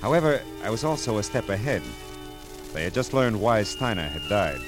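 A hard-boiled American private detective from a vintage radio drama. His voice sits low, with a dry, clipped, wisecracking delivery that moves between narration and brisk questioning. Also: very animated, music in the background. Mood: wry narration. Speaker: lead actor and first-person narrator. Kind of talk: 1940s-50s radio detective acting.

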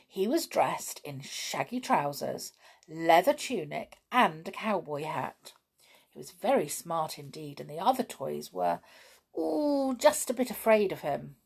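A woman's voice: on the low side, creaky, breathy edge. A woman hosting a bedtime story podcast, chatting about listener messages with lots of ums before reading a children's chapter book aloud.

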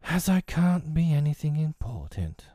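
Man as bashful little boy, storybook character, humble, gloomy, heavy hesitant delivery, mellow. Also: mid-range voice, a little slow, no room sound, clean studio sound, natural voice. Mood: resigned.

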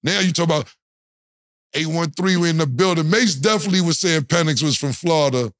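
High-voiced man teasing in slang. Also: brisk, a bit bright, no echo, clean studio sound. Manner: playful, teasing, heavy slang. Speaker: podcast co-host. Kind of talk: casual chat, teasing banter. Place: podcast studio.

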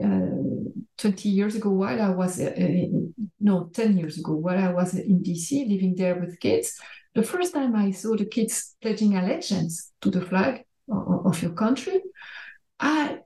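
Mellow woman academic, roomy sound. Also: mid-range voice, moderate pace. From Belgium. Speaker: academic researcher, interview guest. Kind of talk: explanatory interview answer.

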